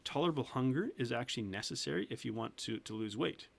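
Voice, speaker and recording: Guest coach, man in his 30s, gravelly voice, earnest and chatty, laughs a lot. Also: mid-range voice, lively intonation, fast. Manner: earnest, laughs often, restarts sentences. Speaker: weight loss coach, guest. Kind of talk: casual interview talk.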